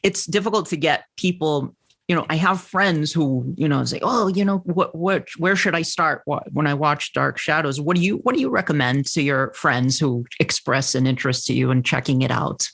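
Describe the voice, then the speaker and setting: A man's voice: a little high, normal speed, creaky, a bit bright. An American man chatting casually as a podcast host.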